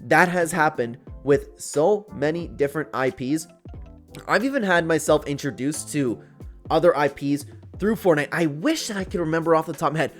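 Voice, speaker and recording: A young man with a fairly high-pitched voice talks as a gaming commentator. His delivery is informal and chatty, as if hanging out with his viewers. Faint music plays behind him. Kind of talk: casual commentary reacting to read-out viewer posts.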